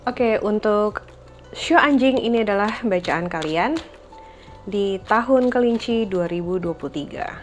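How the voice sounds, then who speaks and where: A woman's voice: medium pitch, lively intonation, measured pace, soft background music. A woman reading tarot cards for viewers in a casual, reflective way, with many fillers and a slightly blocked nose from a cold. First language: Indonesian. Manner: gentle, reflective, with frequent fillers. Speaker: tarot reader.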